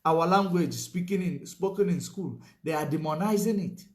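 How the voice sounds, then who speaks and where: A man's voice: sits high, normal speed, reverberant. A man giving a political commentary monologue, emphatic, repeating his points and filling gaps with "you know".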